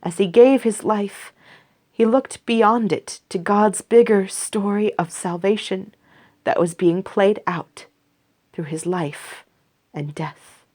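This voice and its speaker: A woman reading a scripture story aloud for a church congregation, slow and measured. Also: medium pitch, a little mellow. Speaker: scripture reader. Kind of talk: scripted read-aloud narration.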